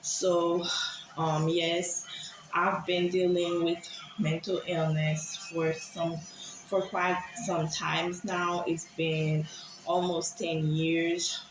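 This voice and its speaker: A woman from Haiti giving a personal, confessional monologue to viewers in a hesitant, halting way, often repeating herself. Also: fairly low pitch, little pitch movement, echoey room, background noise. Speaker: vlogger sharing personal experience.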